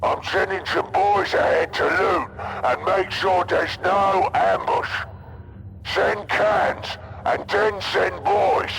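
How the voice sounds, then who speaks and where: Game casting: blunt ork boss, raised gravelly voice, unhurried barking, faint noise behind. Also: male voice, high voice, steady tone, a bit bright, heavily acted, low-quality recording. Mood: bossy, callous. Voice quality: rough, gravelly. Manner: crude, blunt, bossy. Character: callous, brutish ork boss barking orders. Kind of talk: video game cutscene acting.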